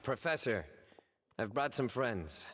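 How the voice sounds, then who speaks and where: A man voicing a composed, serious young investigator in an anime video game, measured, formal and earnest. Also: medium pitch, big pitch swings, fairly bright tone, slight background noise. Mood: calm.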